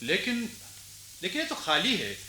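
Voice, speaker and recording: A man's voice: high-pitched, wildly swooping pitch, measured pace, pushed and bright, a little hiss or hum, heavily acted. A man playing a respectful, curious grown grandson and householder in a Hindi radio play, speaking in an earnest, explanatory way. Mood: puzzled, practical.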